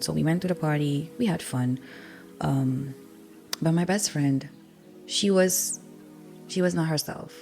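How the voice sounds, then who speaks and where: A woman's voice: low-pitched, creaky, dark tone. A young woman with a Surinamese accent, whose first language is Sranan Tongo, hosting a personal spiritual podcast in an earnest, confessional way, sniffly with a cold. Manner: earnest, raw and confessional, with many ums. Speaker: podcast host.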